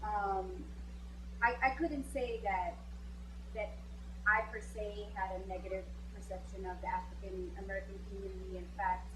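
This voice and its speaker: A woman's voice: mid-range voice, fairly even intonation, normal speed. A woman from New York, an attorney and educator, speaks in a mid-range voice as a panel guest. Her manner is direct and matter-of-fact. The room is echoey, with a hum underneath. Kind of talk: studio panel discussion.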